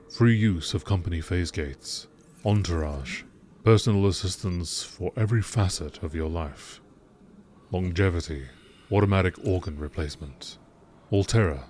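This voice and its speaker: A man reading scripted text aloud in a measured nature documentary narration style. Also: deep voice, a little mellow, slight background noise.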